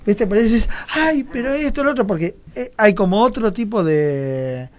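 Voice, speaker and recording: Argentine male theatre director, slightly high voice, thoughtful discussion style, seeks agreement as he reasons. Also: animated, moderate pace, a little mellow. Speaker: radio show guest, theatre director. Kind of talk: reflective radio discussion. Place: radio studio.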